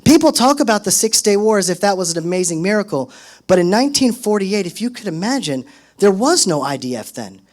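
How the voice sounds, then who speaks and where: Brief: high-pitched man, 30s, lively storyteller at a podium, punches up quoted lines. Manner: animated, acts out quotes in raised voice. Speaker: speaker at a solidarity event. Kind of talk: storytelling speech to an audience.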